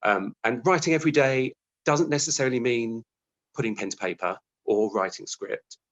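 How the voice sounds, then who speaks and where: A man's voice: medium pitch, moderate pace, muffled recording. A British man answering interview questions, talking reflectively and conversationally about his own working life, often acting out his thoughts. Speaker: interview guest, TV writer.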